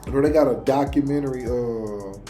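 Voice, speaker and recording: A man hosts a reaction show in loose, slangy conversation. His voice sits at a medium pitch with a soft, mellow tone. Faint music plays behind him. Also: brisk. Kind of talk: casual reaction chat.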